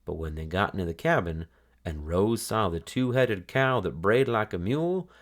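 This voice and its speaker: Deep-voiced, animated male storyteller. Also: moderate pace. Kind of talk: expressive children's read-aloud narration.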